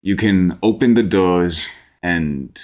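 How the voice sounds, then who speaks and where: A man narrating a tabletop fantasy game as game master, deliberate and descriptive. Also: sits low.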